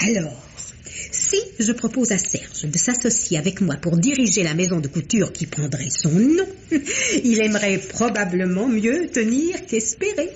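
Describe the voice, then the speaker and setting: A woman's voice: mid-range voice, sing-song, unhurried, a little mellow, slight background noise. An actress playing a chatty, scheming woman who has inherited a couture house, in an old French radio drama, gossipy and conspiratorial with frequent giggles.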